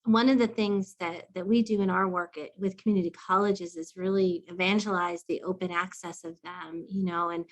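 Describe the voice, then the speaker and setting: A woman hosting a podcast interview, talking in a warm, enthusiastic, conversational way. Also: fairly low pitch, fairly even intonation, normal speed, few pauses. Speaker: podcast host.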